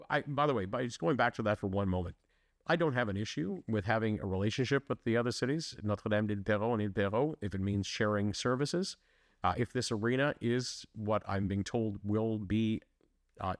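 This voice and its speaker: Earnest low-voiced man, dry room. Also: no room sound. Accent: Canadian English. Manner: earnest, restarts and fillers, emphatic. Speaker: municipal election candidate. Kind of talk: interview answers, conversational.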